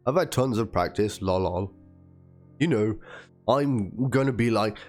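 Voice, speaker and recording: A man's voice: on the low side, a little slow, a little mellow, close to an everyday voice. A man doing a dramatic reading as a wounded young woman, plaintive and theatrical, spelling out chat slang. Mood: playful, teasing. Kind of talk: dramatic reading of a written document and chat logs. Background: guitar music.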